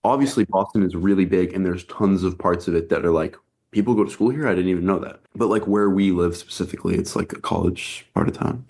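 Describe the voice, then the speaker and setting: A man's voice: deep voice, quick pace, gravelly, a little mellow. A man in his twenties, a band member, talking through his thoughts in a rambling way with lots of fillers. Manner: rambling, thinking aloud, many fillers. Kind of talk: casual interview talk.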